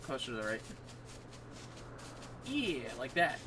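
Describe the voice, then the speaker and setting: A man's voice: medium pitch, big pitch swings, takes it slowly, distant mic, background noise. A man chatting casually on a gaming livestream, guiding his partner as they play. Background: game music.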